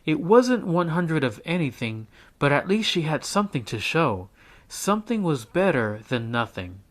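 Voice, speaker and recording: A man reading a children's picture book aloud as a teacher, in an expressive storytelling style. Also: on the high side, moderate pace.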